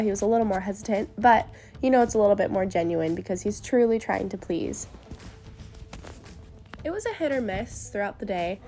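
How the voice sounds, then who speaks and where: Stuffed-up woman with a gravelly edge. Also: mid-range voice, a little mellow. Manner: upbeat and earnest, explaining step by step. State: stuffed up from a cold. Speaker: horse trainer. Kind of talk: voice-over narration of training footage.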